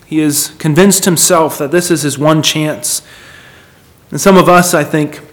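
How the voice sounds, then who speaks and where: A man's voice: a little high, steady tone, unhurried. A man preaching a sermon in an earnest, emphatic manner, repeating phrases for weight and putting questions to his listeners.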